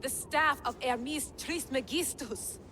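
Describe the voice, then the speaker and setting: A woman's voice: sits high, takes it slowly, sharp tone, a little hiss or hum, heavily acted. An actress playing an eager, determined woman who hunts artifacts in a game cutscene. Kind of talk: video game cutscene acting.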